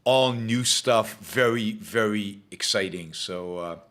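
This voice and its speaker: A man hosting his talk show, hoarse from losing his voice, rambling with many fillers and restarts. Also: fairly low pitch, slow pace, a bit bright. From Israel. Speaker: show host. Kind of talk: casual livestream talk.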